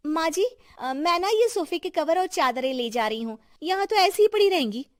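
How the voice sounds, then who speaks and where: A woman's voice: very high voice, animated, normal speed, dry recording, studio quality. A woman voicing a pushy, grasping young daughter-in-law in a Hindi cartoon story. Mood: wheedling.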